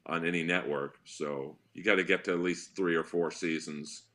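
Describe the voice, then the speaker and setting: A man with a deep voice, a comedian guest telling wry, rambling stories in a casual podcast chat. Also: steady tone, normal speed, bright, forceful tone.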